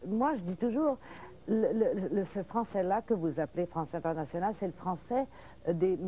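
A woman in her sixties born in Paris, a lively talk-show guest whose French mixes Parisian and Quebec speech, telling stories with restarts. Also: on the low side, normal speed, slight background noise, rough recording. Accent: mixed Parisian and Quebec French. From France. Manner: lively storyteller, restarts and laughs, points her words. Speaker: actress guest. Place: TV studio set, seated at table.

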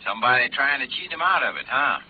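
A man's voice: medium pitch, lively intonation, quick pace, a little hiss or hum. A man playing a chummy, teasing, streetwise American friend over a filtered telephone line in an old radio drama. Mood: curious. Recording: thin phone filter on old radio recording.

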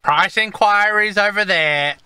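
A man's voice: sits high, unhurried, pushed and bright, smooth, dry recording, pitched above the performer's own voice, heavily acted. A man voicing a curt, dismissive old female alchemist in a tabletop game.

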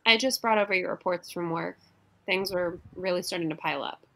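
A woman's voice: fairly low pitch, brisk, background noise, natural voice. An actress playing a hurt, pointed young ex-girlfriend and coworker in a radio play. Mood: awkward, pointed. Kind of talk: radio play acting, naturalistic dialogue.

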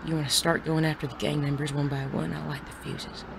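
A woman playing an articulate, sardonic gentleman bandit in a western audio drama. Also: sits low, level tone, fairly quick, gravelly, background noise. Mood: cool, persuasive. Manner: articulate, persuasive. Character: suave, sardonic gentleman bandit of the Wild West.

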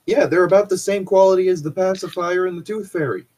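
A man's voice: high-pitched, fast, a little mellow. A man co-hosting casual comedic banter in an exasperated, sweary way as he comments on fan fiction. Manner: exasperated, profane. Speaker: co-host reading fan fiction aloud.

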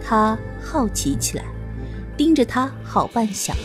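A woman's voice: on the high side, sing-song, measured pace. A woman narrating a novel as an audiobook reader, in a scripted storytelling style. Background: faint music.

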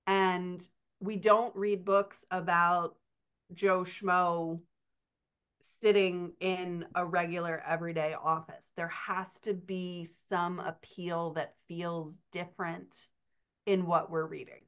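Middle-aged woman, lower register, coach answering questions conversationally with emphasis, slightly lo-fi call audio. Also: level tone, takes it slowly, fairly bright tone, clean tone. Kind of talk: conversational podcast Q&A, explanatory. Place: indoors on a video call. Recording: dull, muffled video-call audio.

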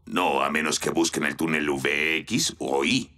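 A man playing a gruff, wary robot vehicle in a cartoon dub, speaking in a deadpan way. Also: a bass voice, measured pace, full character voice. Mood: matter-of-fact.

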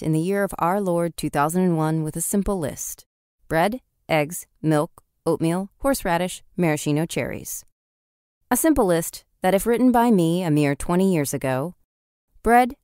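Actor as embittered author, smooth and incantatory. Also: male voice, sits high, a little mellow, no room sound, studio quality. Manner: incantatory, repetitive, formal. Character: embittered eccentric author reading his own letter aloud. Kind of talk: dramatic letter reading.

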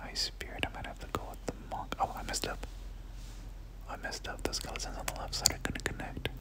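A person whispering casual, slangy ASMR gameplay commentary, muttering reactions and repeating short phrases. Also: fairly quick. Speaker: gaming video creator.

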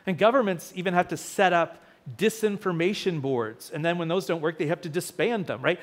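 A man in his thirties with a sore, fading voice, preaching a sermon at a lectern in a small church hall, telling stories with lively quoted voices. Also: sits high, a little fast. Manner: storytelling, animated quoted voices. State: sore throat, losing his voice. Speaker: pastor. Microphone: lectern mic, far camera.

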